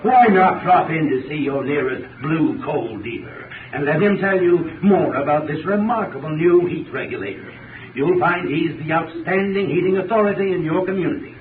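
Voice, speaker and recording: Man acting a courteous radio expert, earnest sales talk, slightly high voice, echoey, tinny. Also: close to an everyday voice. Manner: formal, earnest, deliberate. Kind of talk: 1930s scripted radio advertisement. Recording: distant, echoey, tinny, noisy 1930s radio recording.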